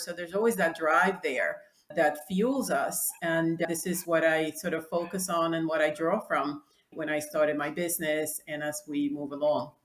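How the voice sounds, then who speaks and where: A woman in her sixties from the Dominican Republic, a Spanish native speaker, answering interview questions in a reflective way with frequent restarts. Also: lowish voice. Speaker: guest, clothing designer. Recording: echoey room.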